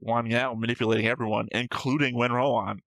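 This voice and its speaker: A man's voice: medium pitch, flat delivery, quick pace, fairly bright tone, no echo. A man co-hosting a reaction show, chatting casually and analytically, thinking aloud and swearing freely. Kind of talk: casual analytical chat about an anime episode.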